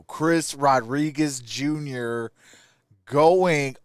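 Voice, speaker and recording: Clear-voiced man in a dry close recording. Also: mid-range voice, drawn-out words, no echo. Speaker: live stream host. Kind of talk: casual sports commentary, answering viewer chat.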